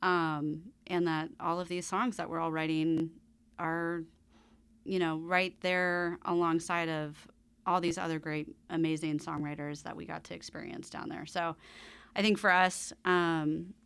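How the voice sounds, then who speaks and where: A woman's voice: deep voice, little pitch movement, a little slow, vocal fry, a bit bright, smooth. Female musician as interview guest, conversational, reflective, earnest.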